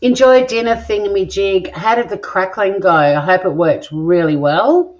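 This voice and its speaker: A woman's voice: mid-range voice, normal speed, darkish tone. A middle-aged Australian woman hosting a cooking stream from her home kitchen, chatting with viewers in a playful way and swearing now and then. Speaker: cooking vlog host. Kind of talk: casual chat, live cooking stream reading viewer comments. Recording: dull lo-fi home recording.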